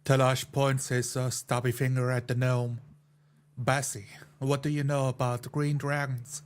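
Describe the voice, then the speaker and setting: A man's voice: mid-range voice, level tone, unhurried. A man playing a tabletop roleplaying game, narrating his character's actions and speaking in character.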